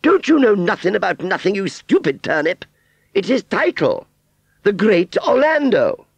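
A man's voice: sits high, big pitch swings, moderate pace, gravelly, slightly rough, dry recording, highs cut off, pitched above the performer's own voice, full character voice. A man voicing a vain, haughty female fairground doll in a storybook, with an affectedly genteel but ungrammatical way of speaking. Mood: smug, condescending.

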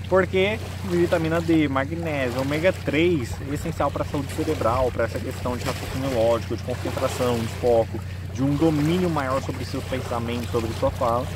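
A man in his twenties with a Brazilian accent, explaining things informally to camera as a vlogger. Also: fairly high pitch. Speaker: vlogger giving nutrition advice. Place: outdoors in a sunny park-like area. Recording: noisy outdoor recording, clear background noise.

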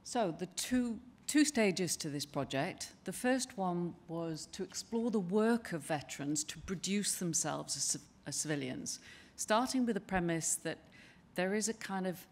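An older woman giving a conference presentation at a lectern in a large wood-panelled hall, speaking in a measured, conversational way with frequent ums and restarts. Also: on the low side, expressive. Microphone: lectern microphone.

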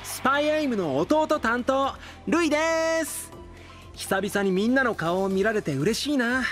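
A man's voice: extremely high pitch, expressive, unhurried, a bit bright, soft background music, full character voice. A male actor playing a sweet, boyish little-brother idol in an audio drama, friendly and lightly teasing. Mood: sweet, cheerful.

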